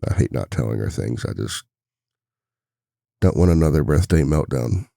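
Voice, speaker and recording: Guy playing a tender worried single dad boyfriend, super deep crackly voice, soft close-up asmr, kinda rambly. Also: lively intonation, normal speed, no echo, exaggerated voice. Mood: worried, venting. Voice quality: very deep, gravelly. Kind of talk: intimate boyfriend ASMR roleplay.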